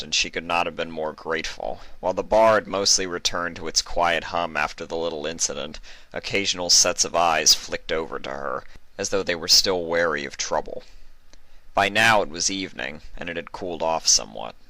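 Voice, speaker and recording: A man's voice: low-pitched, normal speed, bright, forceful tone, dry recording, low-quality recording. A man reading a story aloud as a scripted narration. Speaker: narrator of fan fiction. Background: music.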